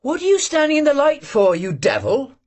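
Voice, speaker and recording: A man voicing a bold, sharp-tongued young Cossack woman who talks curtly and mockingly, in a husky voice. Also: extremely high pitch, huge pitch swings, moderate pace, pitched above the performer's own voice, full character voice. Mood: scolding. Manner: curt, scolding.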